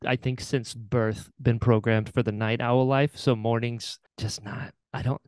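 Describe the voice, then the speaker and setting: A man's voice: medium pitch, fairly even intonation, measured pace, a little mellow, smooth, no echo. A man hosting a podcast in an upbeat, casual and enthusiastic way. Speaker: podcast host. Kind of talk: upbeat podcast intro and casual interview chat.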